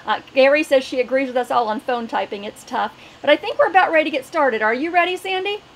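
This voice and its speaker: Middle aged lady webinar host, pretty high voice, doing announcements, slight background noise. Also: expressive, fast talker. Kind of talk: online webinar hosting, announcements and housekeeping.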